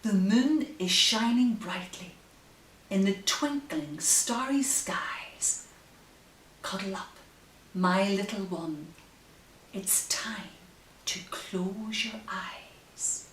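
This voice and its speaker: A woman reading picture books aloud to young children, gentle and expressive, with drawn-out phrases and hushed asides. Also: mid-range voice. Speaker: story time host. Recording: roomy, distant mic with faint hiss.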